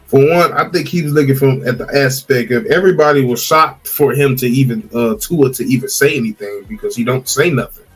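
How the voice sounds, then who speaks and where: A man co-hosting a casual sports podcast, talking in a relaxed, slangy way with loose grammar. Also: medium pitch, poor audio.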